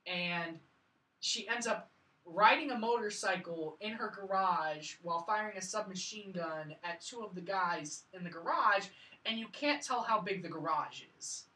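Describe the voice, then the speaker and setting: A woman's voice: fairly low pitch, unhurried, bright, forceful tone. A woman hosting a casual film review chat, talking emphatically and repeating points to drive them home. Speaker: podcast host reviewing films. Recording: echoey room, distant from mic.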